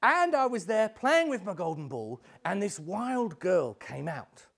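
A man voicing an excitable, bossy, impulsive young princess in a told folk tale. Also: high-pitched, slow, vocal fry, husky, exaggerated voice. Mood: excited.

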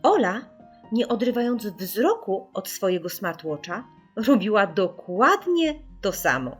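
A woman reading a children's audiobook as an expressive storyteller, putting dramatic emphasis on key words. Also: medium pitch, unhurried, slightly rough, faint music behind.

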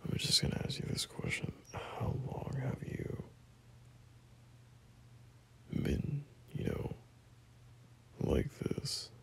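A man playing a gentle, caring boyfriend at bedtime in an intimate ASMR roleplay, speaking softly in a breathy half-whisper with hesitant pauses. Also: natural voice, rough recording. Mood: tender, tired. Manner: soft, slow, hesitant, reassuring.

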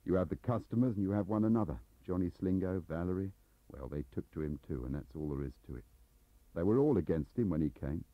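A man's voice: deep voice, expressive, fast talker, no room sound. A man voicing an aggrieved, lonely middle-aged English clerk who rambles defensively, in an audiobook on a dull cassette recording. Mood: wistful, confessional.

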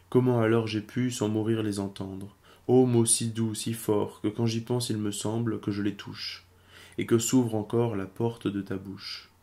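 Male amateur poetry reader: low, creaky, solemn and measured delivery. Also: dark tone.

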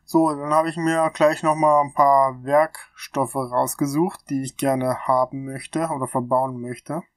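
A man's voice: mid-range voice, fairly even intonation, a little slow, no room sound. A man in his thirties with a stuffy cold, casually explaining technical calculations on his channel, thinking aloud with fillers, recorded on a laptop mic in a small room. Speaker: channel host. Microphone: laptop webcam mic.

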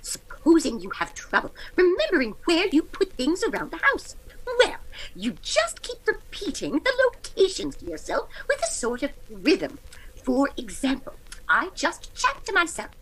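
A woman playing a kindly, forgetful elderly landlady who rambles absent-mindedly in an old-time radio comedy, with a breathy, fluttery voice. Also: high voice, wildly swooping pitch, moderate pace, a bit bright, a little hiss or hum, full character voice, low-quality recording. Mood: earnest advice.

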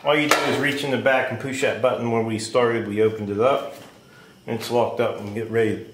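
A man's voice: mid-range voice, flat delivery, brisk. Folksy American man, roomy noisy recording. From United States. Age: middle-aged. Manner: plainspoken, folksy. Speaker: homestead vlogger reviewing a tool. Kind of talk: casual hands-on product demonstration. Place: open wooden workshop shed. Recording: roomy echo, clear background noise, clatter.

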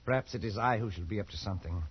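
An actor playing a haunted but defiant colonial adventurer, telling his tale with tense dramatic pauses in old radio style. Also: lowish voice, husky. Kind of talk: 1940s radio acting, dramatic first-person narration. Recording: dull old radio recording, faint music.

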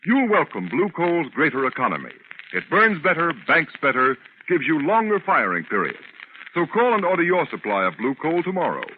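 A man's voice: a little high, wildly swooping pitch, normal speed. A man announcing a scripted 1930s radio commercial in an emphatic, formal sales style. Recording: tinny, hissy 1930s radio recording.

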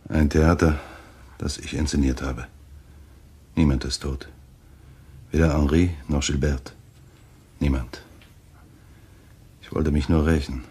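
An actor playing a vengeful, calculating husband in a German thriller radio play, with measured phrasing. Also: male voice, extremely low pitch, fairly even intonation, mellow, slightly rough, full character voice. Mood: calm, self-satisfied. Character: vengeful, calculating husband who staged a cruel charade.

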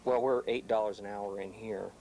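A man's voice: on the low side, fairly even intonation, normal speed, mellow, a little hiss or hum. A young man who works as a production coordinator, giving short, plain interview answers. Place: indoor video edit room. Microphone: reporter's handheld microphone held toward him.

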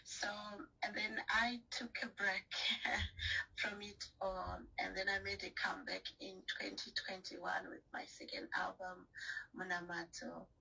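Woman guest, bright and raspy, earnest and rambling, heard from a distance. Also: medium pitch, level tone, a little slow. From Zimbabwe. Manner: earnest, prayerful, rambling with restarts. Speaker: gospel singer, guest. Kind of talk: phone-in radio interview.

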